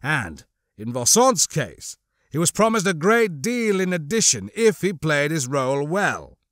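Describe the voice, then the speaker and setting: A man playing a cold, scheming crime lord in an audiobook, mocking and disdainful, with menacingly measured delivery. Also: on the high side, big pitch swings, fairly bright tone, no echo, clean studio sound. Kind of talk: audiobook character acting.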